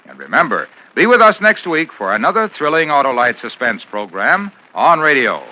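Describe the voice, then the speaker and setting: A man's voice: medium pitch, big pitch swings, moderate pace, dull audio, noisy background. An American man reading scripted commercials as a 1950s radio announcer, with an emphatic salesman delivery on a vintage broadcast recording.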